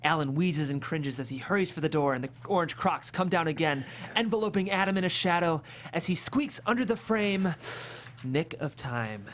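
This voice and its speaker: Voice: mid-range voice, animated, normal speed, a little hiss or hum. A narrator vividly reading scripted stage directions at a comedic table read.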